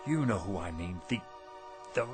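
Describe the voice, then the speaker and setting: Weary, rueful husband with swinging pitch. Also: male voice, lively intonation, soft background music. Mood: agitated, dazed. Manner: halting, self-doubting, rueful. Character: weary, wistful failing antique shop husband. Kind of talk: radio drama acting.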